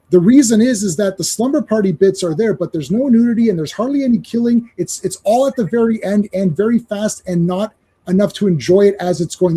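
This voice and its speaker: A man's voice: sits high, breathy edge. A man whose first language is Croatian, chatting on a podcast about horror movies and laughing as he tells stories. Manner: animated storyteller, laughs often. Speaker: podcast co-host, reviewer. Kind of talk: casual podcast chat.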